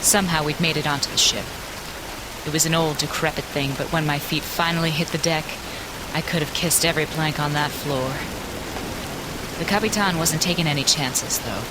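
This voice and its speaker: An actress narrating in first person as a plucky 1920s journalist, recalling events with vivid, wry detail in a radio drama. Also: female voice, deep voice, moderate pace, close to an everyday voice. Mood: tense. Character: 1920s woman journalist, frightened but plucky. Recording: loud rain and storm noise.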